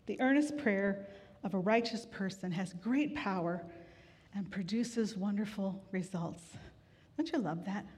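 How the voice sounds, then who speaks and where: A woman pastor giving a sermon in a relaxed, conversational way with gentle humor, weary from grief. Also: medium pitch, unhurried, slightly rough. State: grieving, tired. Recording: long hall reverb.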